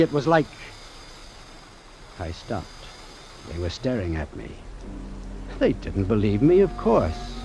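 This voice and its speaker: A man's voice: fairly high pitch, darkish tone, a little hiss or hum, exaggerated voice. An actor playing a nervous, calculating American man, brooding and dramatic in old-time radio drama style. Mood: cornered, then bitter. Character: scheming, nervous American ship's medic, bitter and calculating. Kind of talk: 1940s-50s radio drama acting with narration. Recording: vintage radio recording with music.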